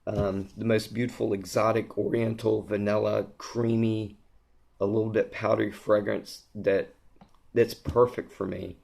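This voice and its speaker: A man with a hoarse voice, losing it to a sore throat, giving a rambling, gushing fragrance review in a casual vlog, with lots of ums and restarts. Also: on the low side, little pitch movement, a little slow, a little mellow.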